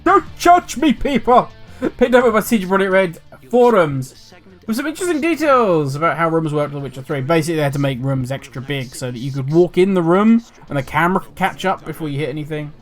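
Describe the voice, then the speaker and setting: A man's voice: a little high, brisk, faint music behind. A man hosting his gaming channel, chatting casually and reading a news article aloud with self-mocking asides. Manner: animated, self-mocking, jumps between loud asides and quiet mutters.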